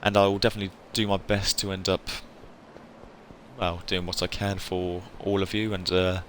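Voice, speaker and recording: A man's voice: low-pitched, flat delivery, normal speed, a bit bright, slight background noise. A man livestreaming a video game, chatting casually with viewers in an earnest, effusive way and repeating himself for emphasis.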